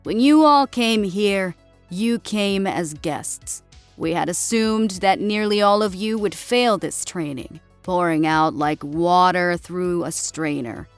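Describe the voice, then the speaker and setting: A woman's voice: on the low side, takes it slowly, smooth, no room sound, faint music behind. A woman voicing a proud, commanding female senior drill sergeant, addressing recruits in a projected, formal way in an audio story. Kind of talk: dramatic audiobook character reading.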